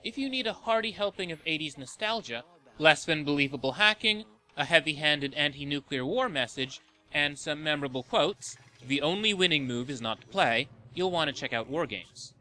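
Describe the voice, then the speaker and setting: A man's voice: a little high, lively intonation, normal speed, sharp tone, poor audio. A man reading a scripted review narration as the host of a countdown list video.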